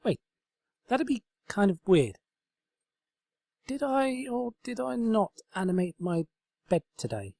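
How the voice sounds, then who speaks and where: A man's voice: sits high, sing-song, slow pace, creaky, long pauses, dark tone, pitched above the performer's own voice, heavily acted. A man voicing an earnest, kind-hearted young female unicorn in a comic storybook, sincere and easily flustered. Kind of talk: comedic storybook reading.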